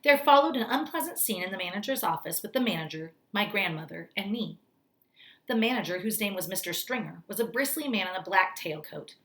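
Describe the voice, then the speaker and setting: A woman reads a storybook aloud in the manner of a teacher. Her narration is expressive, with a mid-range voice and very lively movement in pitch. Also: brisk.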